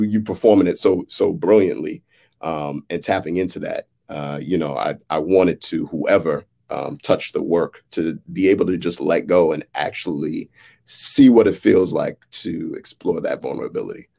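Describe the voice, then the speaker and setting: Playwright, male, thirties, American. Low voice with a creaky edge, halting and reflective in conversation. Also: mellow. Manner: halting, thoughtful, often checks in with "right?". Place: indoors, on a video call. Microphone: video call.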